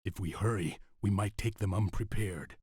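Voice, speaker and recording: An actor playing a seasoned martial advisor with a husky voice, briefing his lord in a video game. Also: fairly low pitch, normal speed, warm tone, dry recording, clean studio sound. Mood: hearty.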